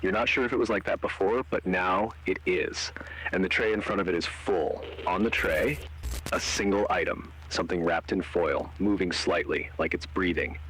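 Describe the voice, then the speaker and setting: A man performs a grave analog horror narrator with a very deep, husky voice. He is measured and deliberate, delivering scripted lines with an ominous weight. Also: fairly bright tone, poor audio. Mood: eerie, suspenseful. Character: grave male narrator and interrogator of a horror tale.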